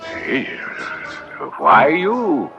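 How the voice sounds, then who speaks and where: An actor playing a kindly, scholarly older gentleman in an old radio drama, musing and hesitant with old-fashioned exclamations. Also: a little high, wildly swooping pitch, very slow, heavily acted. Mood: musing, curious. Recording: dull lo-fi radio recording with music.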